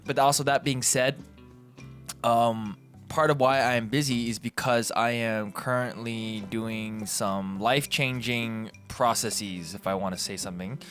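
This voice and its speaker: A man's voice: mid-range voice, fairly bright tone. A Canadian man hosting a casual, rambling commentary, informal and digressive, often correcting himself as he goes. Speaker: video host. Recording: background guitar music. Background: guitar music.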